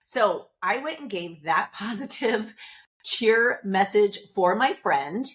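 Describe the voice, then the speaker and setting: A woman's voice: medium pitch, expressive, slow pace, a bit bright. A woman hosting a podcast, talking to listeners in a casual, upbeat and chatty motivational monologue, seated indoors. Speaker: podcast host.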